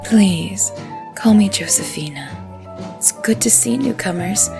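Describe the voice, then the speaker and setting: An actress playing a sugary, catty, flirtatious cabaret diva with a coy purr in a 1920s radio play. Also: female voice, medium pitch, expressive, vocal fry, music in the background. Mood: sultry, flirtatious. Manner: coy, purring, drawn-out flirting.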